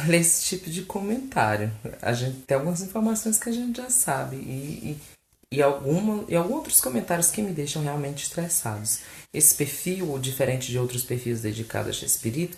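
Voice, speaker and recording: A man with a Brazilian Portuguese accent, host of a fan page, answering fan questions in casual chat to camera, animated and exasperated with mocking asides. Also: mid-range voice, creaky. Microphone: phone recording. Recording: echoey room.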